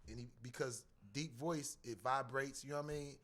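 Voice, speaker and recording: A man with a raspy, somewhat squeaky voice, chatting animatedly as a guest rapper on a podcast. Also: moderate pace. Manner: animated, acts out voices and rap lines. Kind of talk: casual podcast chat.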